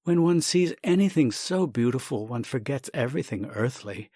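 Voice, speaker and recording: A man's voice: medium pitch, lively intonation, moderate pace, mellow, breathy edge, dry recording, studio quality, pitched above the performer's own voice. A man voicing an earnest, effusive young art lover and devoted son in a comic story, gushing, emphatic and pleading. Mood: gushing, insistent. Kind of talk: acted reading of a comic short story.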